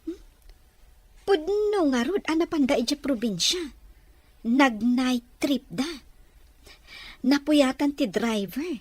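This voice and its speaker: High, swooping Ilocano office gossip played by a woman. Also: lively intonation, slow, long pauses, no room sound. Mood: gossipy. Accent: Ilocano Filipino. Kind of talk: Ilocano radio-style drama acting.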